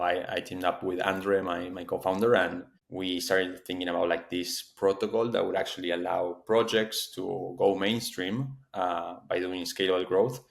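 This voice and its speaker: A man, a startup founder speaking as a guest in a casual podcast interview and talking in a measured way with many fillers and restarts. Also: low-pitched, gravelly. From Argentina.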